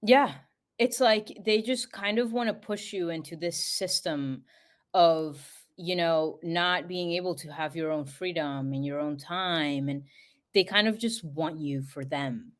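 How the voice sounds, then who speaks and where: Young woman from peru, kinda low voice, rambly casual chat with lots of "like". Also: unhurried. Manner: chatty, lots of fillers like "you know, like". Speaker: podcast guest.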